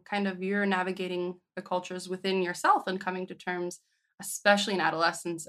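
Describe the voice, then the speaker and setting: A woman's voice: on the low side, fairly bright tone. A woman interview host speaking in a thoughtful, curious, conversational way. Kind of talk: conversational interview with scripted introduction.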